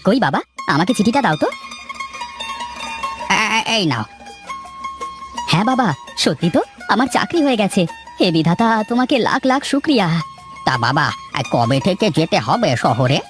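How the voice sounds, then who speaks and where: A woman's voice: medium pitch, big pitch swings, moderate pace, pauses often, natural voice. Woman voicing an earnest young village tutor. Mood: curious, grateful. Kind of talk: Bengali cartoon character acting. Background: faint music.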